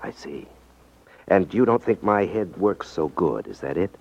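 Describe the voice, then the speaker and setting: A man's voice: low voice, sing-song, a little slow, gravelly, no room sound, full character voice. An actor playing a sly ex-magician con man in a 1950s radio drama, talking in a wry, mock-polite, hesitant way. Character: smooth, wry male ex-magician con man, feigning innocence. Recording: dull vintage 1950s radio recording.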